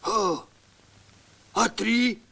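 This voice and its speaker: A man's voice: sits high, very slow, vocal fry, bright, forceful tone, slightly rough, heavily acted. A man voicing a greedy, fat rich man, pompous and wheedling, in a verse cartoon. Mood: eager, wheedling. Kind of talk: 1980s Soviet cartoon voice acting in verse.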